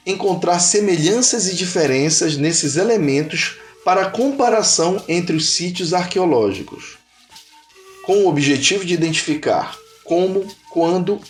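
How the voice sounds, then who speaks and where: Male narrator, Brazilian accent, slightly high voice, measured explainer delivery over music. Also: expressive. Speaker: narrator of an educational cartoon. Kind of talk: scripted educational narration.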